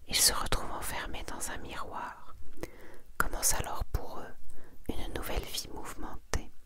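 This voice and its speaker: A woman whispering in a breathy, intimate way, as the host of an ASMR video speaking to one listener. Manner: soft, intimate, addresses one listener informally. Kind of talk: whispered ASMR, chatting and reading out manga summaries.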